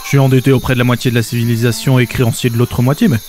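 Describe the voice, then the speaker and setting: A man's voice: medium pitch, little pitch movement, rapid-fire, darkish tone, lightly acted. An actor playing an exasperated, sarcastic everyman who grumbles in colloquial speech in a comedic audio drama. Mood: smug, casual.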